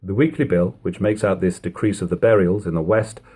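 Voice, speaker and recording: A man reading an old literary text aloud as narration, in measured, emphatic phrasing. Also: low-pitched, steady tone, dark tone, husky. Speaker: reader of a classic book. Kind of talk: audiobook-style literary narration.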